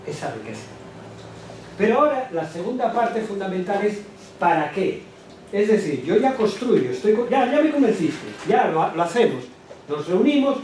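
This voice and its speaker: A man gives an animated lecture to teachers, asking the room rhetorical questions and adding quick asides to the audience. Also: on the high side. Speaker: education speaker at a teachers' workshop. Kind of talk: lecture presentation. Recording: echoey hall, distant mic, background noise.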